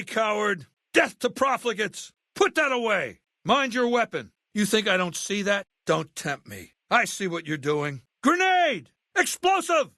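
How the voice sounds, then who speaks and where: An actor playing a ruthless, intellectual warlord dictator in a video game, speaking in a commanding, articulate and contemptuous way. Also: male voice, fairly high pitch, pushed and bright, no room sound, studio quality, full character voice. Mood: aggressive, threatening. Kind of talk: video game character acting.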